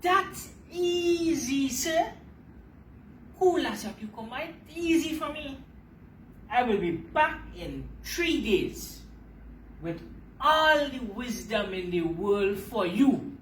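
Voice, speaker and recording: Woman playing a high-pitched, boastful trickster spider. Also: animated, roomy sound, distant mic, a little hiss or hum, low-quality recording. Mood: cocky, breezy. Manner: smug, showing off, drawn-out emphatic words.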